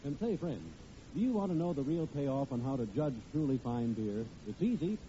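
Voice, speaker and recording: Animated vintage male radio announcer. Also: medium pitch, normal speed. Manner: salesmanlike, theatrical emphasis. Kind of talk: 1940s radio advertising, scripted narration. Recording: muffled old radio recording, faint noise.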